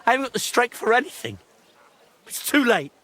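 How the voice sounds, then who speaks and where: An actor playing a middle-aged, washed-up drunken master craftsman in a video game, halting, grumbling and self-pitying, with a gravelly, husky voice. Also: extremely high pitch, huge pitch swings, sharp tone, exaggerated voice. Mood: despairing. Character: ashamed washed-up drunken old master clockmaker, grumbling and reluctant. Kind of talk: video game drama acting.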